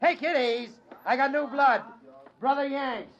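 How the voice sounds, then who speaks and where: A man's voice: very high voice, measured pace, fairly bright tone, dull audio. An American man playing a brash, wisecracking, blustering movie director in a 1950s radio drama. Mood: hearty, jovial. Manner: blustering, jokey, slangy. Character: brash, wisecracking Hollywood film director, loud and hearty. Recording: old-time radio recording.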